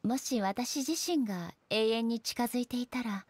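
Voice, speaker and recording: Composed, high-voiced shogun goddess. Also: female voice, darkish tone, slightly rough, dry recording. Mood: reflective. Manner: formal, measured, stately. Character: composed, solemn female shogun deity, formal and reflective. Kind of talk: Japanese anime game voice acting.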